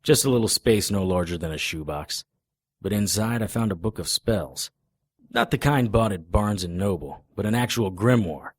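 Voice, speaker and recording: Low-voiced, deadpan hard-boiled private detective. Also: male voice, moderate pace, no room sound, studio quality. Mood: dry, confiding. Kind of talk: noir audio drama narration and dialogue.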